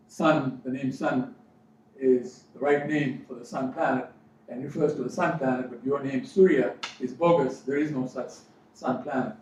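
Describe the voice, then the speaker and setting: A man from Fiji, educated in New Zealand, gives a motivational spiritual talk as a coach, speaking deliberately with emphatic repetition. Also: mid-range voice. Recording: very echoey room, distant mic, dull sound, faint noise.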